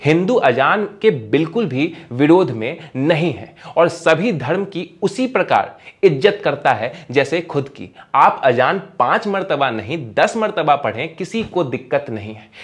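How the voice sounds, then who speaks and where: A man narrates a scripted opinion commentary. His voice sits slightly high for a man, and he speaks emphatically, leaning on rhetorical phrasing. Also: very animated, unhurried.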